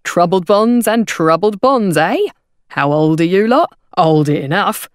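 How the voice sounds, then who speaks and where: A woman voicing a grinning male hawker for an audiobook, slick and taunting, with loud wheedling sales patter. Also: fairly low pitch, lively intonation, a little slow, smooth, no echo, clean studio sound. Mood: slick, insistent. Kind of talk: audiobook character voice.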